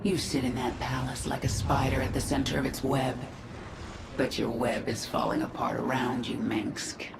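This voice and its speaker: A woman's voice: extremely low pitch, little pitch movement, moderate pace, vocal fry, very noisy. An actress playing a vengeful, resolute alien swarm queen in a video game, with dramatic, commanding delivery. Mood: cold anger. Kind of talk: video game cinematic acting. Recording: echoey voice with loud music.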